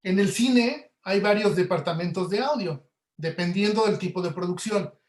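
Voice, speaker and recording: A man's voice: high voice, normal speed, reverberant. A man giving an informal webinar lecture as an audio producer, explaining conversationally to students and checking in with tag questions. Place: indoor room, online webinar.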